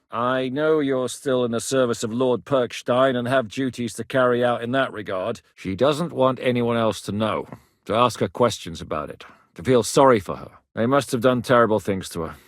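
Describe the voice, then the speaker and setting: A man voicing a blunt, steady mercenary captain in a video game, gruff and plain-spoken, recorded in a dry studio booth. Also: medium pitch, dry recording, lightly acted. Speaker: mercenary leader.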